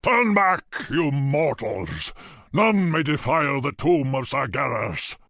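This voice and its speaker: A man playing a wrathful undead orc guardian in a video game, with a harsh rasp and booming threats. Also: big pitch swings, takes it slowly, fairly bright tone, dry recording, studio quality, exaggerated voice. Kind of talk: video game monster acting.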